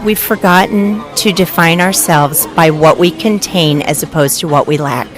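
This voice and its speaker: A woman with a low voice speaks earnestly as an interviewee. Her phrasing is articulate, measured and emphatic, with prominent music behind her. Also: a crowd in the background. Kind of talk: earnest reflective statement.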